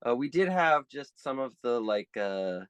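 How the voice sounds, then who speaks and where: A man's voice: mid-range voice, sing-song, measured pace, clean tone. A man from California, a sailing guest, talking casually with lots of hesitations and self-deprecating humor. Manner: hesitant, many "uh" and "you know", self-deprecating. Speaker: guest, sailor. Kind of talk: casual chat.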